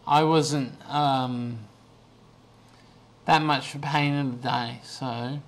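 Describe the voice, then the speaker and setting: A man in his twenties talks slowly into his webcam from a small room, worn out and going hoarse. He wanders through his story with plenty of ums and false starts, as if just chatting to friends. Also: mid-range voice, fairly even intonation. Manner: rambling, slow, many ums and restarts. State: losing his voice, tired. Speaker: vlogger. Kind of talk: casual video diary. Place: small bedroom indoors. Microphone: webcam at desk.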